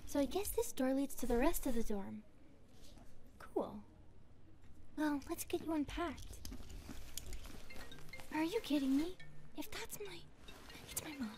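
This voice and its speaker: A woman plays a nervous young grasshopper girl in an audio roleplay. Her voice is high and soft-toned, and she chatters hesitantly, full of self-doubt. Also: expressive, measured pace, pauses often, a little hiss or hum. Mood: chatty, excited. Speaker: roleplay voice actress. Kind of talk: ASMR roleplay acting.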